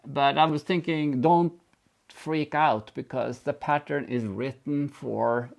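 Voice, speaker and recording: Gravelly man chatting about knitting. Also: a little high, steady tone, slow pace. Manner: enthusiastic, laughs easily. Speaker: knitting show co-host. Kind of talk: casual chat and knitting explanation.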